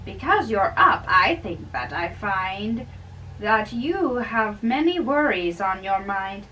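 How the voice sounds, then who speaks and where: A woman's voice: mid-range voice, sing-song, slow pace, bright, forceful tone, muffled recording, exaggerated voice. A concerned, scolding old priest acted out by a woman in a stage play. The delivery is emphatic and fatherly, picked up from a distance with faint noise. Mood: concerned, probing. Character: concerned, scolding older priest advising a young man. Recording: distant camera mic with faint room noise.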